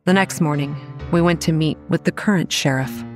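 An actress playing a young campground manager who narrates a horror audio drama in a wry, dry, understated way. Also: female voice, sits low, normal speed, a little mellow, faint music behind, close to an everyday voice. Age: young adult.

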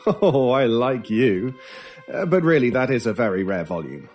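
A man performs a cool British stranger in a radio horror play, needling people with dry, theatrical relish. His mid-pitched voice rises and falls freely in a measured, knowing delivery. Faint music plays behind him. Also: animated, darkish tone, lightly acted. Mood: amused, coaxing. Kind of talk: old time radio style horror audio drama.

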